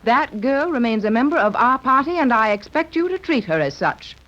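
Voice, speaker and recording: A woman's voice: fairly high pitch, animated, a little fast, a bit bright. An actress playing Patricia, a firm expedition leader and mother, in a 1930s radio serial. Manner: firm, reassuring. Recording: muffled 1930s radio recording.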